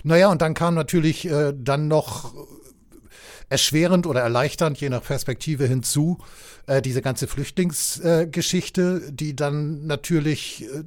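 A man from West Germany in a casual political chat, speaking in a measured, hesitant, long-winded way. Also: fairly high pitch, fairly even intonation. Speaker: discussion partner.

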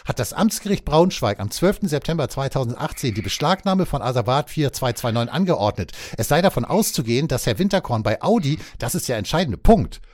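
A man co-hosting a podcast, reading out a news item and then joking around in lively banter. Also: mid-range voice, brisk, hardly any pauses, dry recording. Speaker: podcast co-host.

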